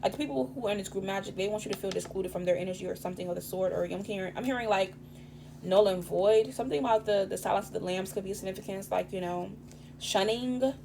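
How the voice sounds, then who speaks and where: A woman giving a casual tarot reading, rambling and profane with streetwise slang, her voice raspy this morning. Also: mid-range voice, quick pace, warm tone, slight background noise. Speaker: tarot reader.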